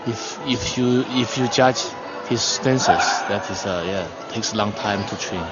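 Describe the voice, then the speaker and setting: Halting male expert commentator, dull broadcast sound. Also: lowish voice. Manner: halting, explanatory non-native English. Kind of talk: technical martial arts commentary. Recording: muffled broadcast audio, music behind. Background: music in a venue.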